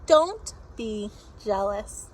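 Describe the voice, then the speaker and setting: A woman's voice: medium pitch, big pitch swings, very slow, darkish tone, slight background noise, pitched below the performer's own voice. A woman voicing a cheerful, coaxing mother in a children's storybook, stretching out her phrases. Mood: chiding.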